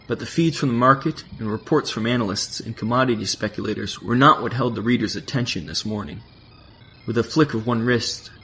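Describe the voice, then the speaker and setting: A man reading scripted narration as the narrator of a science-fiction audio drama. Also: on the low side. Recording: faint background noise and ambience.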